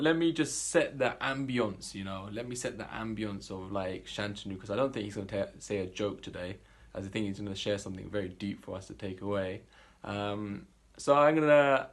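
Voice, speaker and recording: Male host, lowish voice, informal and a little halting, casually introducing to viewers. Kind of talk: casual spoken introduction to camera.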